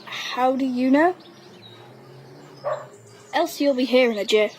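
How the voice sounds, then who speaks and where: A woman playing a guarded, sharp-tongued wartime schoolgirl in an audio drama, giving brisk, dry retorts. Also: high-pitched, a little hiss or hum. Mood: wary, impatient. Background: birdsong.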